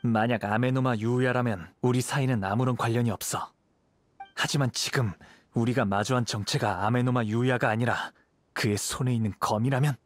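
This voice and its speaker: An actor playing a calm, perceptive young samurai in an anime-style video game, speaking in a composed, serious, measured manner. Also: male voice, medium pitch, close to an everyday voice. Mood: serious, deducing.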